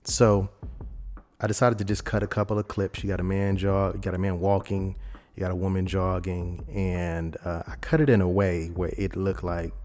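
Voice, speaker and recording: Low-voiced man hosting a casual tutorial. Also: flat delivery, moderate pace, darkish tone. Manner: rambling, conversational, informal. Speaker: video editing tutorial host. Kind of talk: casual software tutorial talk.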